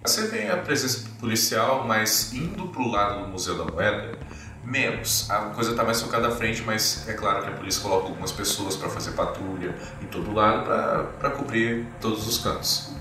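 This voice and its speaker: Brazilian game master, roomy and distant. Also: male voice, on the low side, animated, moderate pace, background noise. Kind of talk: narrating a tabletop role-playing game. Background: music.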